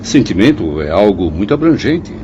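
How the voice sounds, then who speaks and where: An actor playing an opinionated, reflective professor in a radio drama, emphatic and pedantic. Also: male voice, lowish voice, big pitch swings, normal speed, lo-fi sound, noisy background. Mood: pensive, emphatic. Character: opinionated, reflective male professor among penitent spirits.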